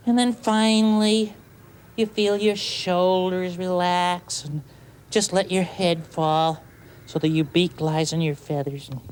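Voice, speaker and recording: A man voicing a gentle, childlike talking bird who rambles soothingly and hesitantly as host of a children's puppet show. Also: sits high. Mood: gentle, musing. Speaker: host giving bedtime tips. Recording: clearly noisy home video soundtrack.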